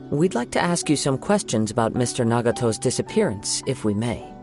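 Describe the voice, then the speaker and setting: An actor playing a calm, polite young wandering samurai in an anime game, measured and formal. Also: male voice, mid-range voice. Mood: reassuring. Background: music.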